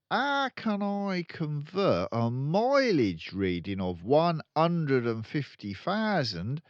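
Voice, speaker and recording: Guy doing a bragging crooked car dealer dad, rude n loud, pitch jumps all over, storybook reading. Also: dry recording, dull audio, heavily acted. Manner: loud bragging, sneering, colloquial. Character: boastful, crooked, rude car-dealer father, middle-aged.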